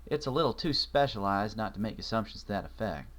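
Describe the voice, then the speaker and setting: A man reading a calm, wry man, low and measured. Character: calm, wry man comforting his friend.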